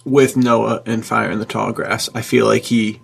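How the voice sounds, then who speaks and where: A man chatting informally as a podcast co-host, thinking aloud in a husky, gravelly voice. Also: lowish voice, little pitch movement, normal speed. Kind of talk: casual chat.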